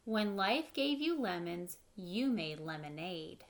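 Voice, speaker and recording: A woman's voice: on the low side, sing-song, slow, slight background noise. A woman in her thirties reading a picture book aloud as a teacher, expressively, adding simple explanations for children. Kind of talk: children's read-aloud with short explanatory asides. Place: living room at home, indoors.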